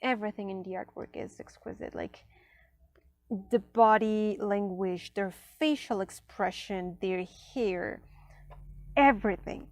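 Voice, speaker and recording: A woman whose first language is Spanish, casually reviewing an oracle deck at a table, chatty, with many fillers and soft near-whispered asides. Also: mid-range voice, unhurried, dry recording. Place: indoors at a table.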